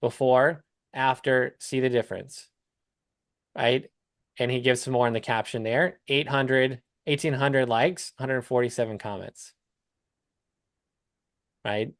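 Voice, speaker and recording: A man's voice: mid-range voice, fairly even intonation, slow, pauses often, no room sound, studio quality. A man talking casually as a coach on a live call, explaining things step by step with examples. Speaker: business coach hosting a client call.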